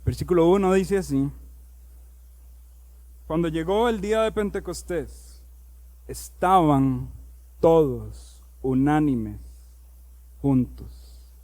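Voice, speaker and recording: A male pastor preaching a sermon. He reads scripture aloud, slows down on key words, and builds to loud, emphatic preaching. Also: on the high side.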